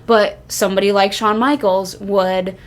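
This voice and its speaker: A woman talking casually as a podcast host, earnest, with halting phrasing that often repeats itself. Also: medium pitch, steady tone. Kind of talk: casual wrestling discussion.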